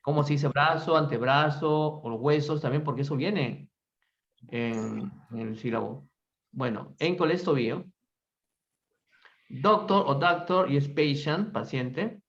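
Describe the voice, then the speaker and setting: Brisk male English teacher, lo-fi call. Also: fairly high pitch, a bit bright. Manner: brisk, quizzing students in Spanish. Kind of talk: online class, calling on students.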